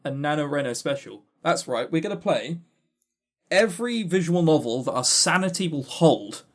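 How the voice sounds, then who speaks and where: A man co-hosting a podcast, chatting casually and opinionatedly about games in a halting, animated way. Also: a little high. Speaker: podcast co-host.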